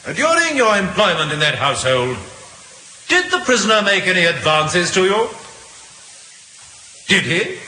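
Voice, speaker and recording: An actor playing an insistent Victorian prosecutor in an old radio drama, firing clipped, pressing questions. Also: male voice, sits high, very animated, a little slow, long pauses, pushed and bright, a little hiss or hum, full character voice. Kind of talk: 1950s radio drama acting. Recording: echoey old radio recording.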